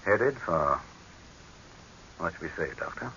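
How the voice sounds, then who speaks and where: An actor playing a pompous, imperious dictator in a 1930s radio horror drama, condescending and commanding, easily irritated. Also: male voice, deep voice, expressive, slow pace, pushed and bright, exaggerated voice. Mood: haughty, amused. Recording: hissy, muffled old radio recording.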